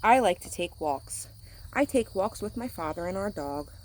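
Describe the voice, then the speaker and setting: A woman's voice: medium pitch, expressive, moderate pace, a little hiss or hum. A woman reading a picture book aloud to children, speaking simply like a teacher to young listeners. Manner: acts out sound words.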